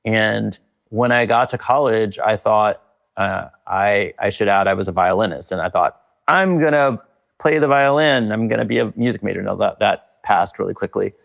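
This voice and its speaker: Middle-aged guy on a podcast, low gravelly voice, lots of ums and restarts. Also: fairly bright tone. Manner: thoughtful, pauses with many fillers and restarts. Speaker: guest, leadership consultant. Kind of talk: reflective conversational interview.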